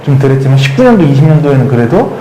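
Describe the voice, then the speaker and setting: A man's voice: medium pitch, big pitch swings, racing, mellow. A young man with a mid-range voice answers a panel question in an echoey hall with a faint hiss. He speaks politely and earnestly and slips in light humor. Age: young adult. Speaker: panel guest.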